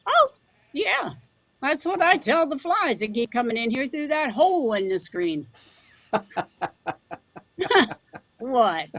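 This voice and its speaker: A woman's voice: high voice, sing-song, slow pace, long pauses, fairly bright tone, no room sound, highs cut off, heavily acted. A woman playing a plain-spoken rural Midwestern mother in an audio drama, dry, folksy and teasing with her grown son. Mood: wry teasing. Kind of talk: audio drama acting, conversational.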